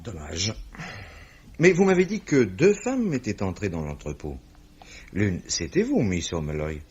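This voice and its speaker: An actor playing a middle-aged police commissioner, formal, urbane and probing, in 1950s French radio drama style. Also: male voice, low-pitched, wildly swooping pitch, a little slow, darkish tone, exaggerated voice. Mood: inquisitive. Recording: dull, slightly rough old radio recording.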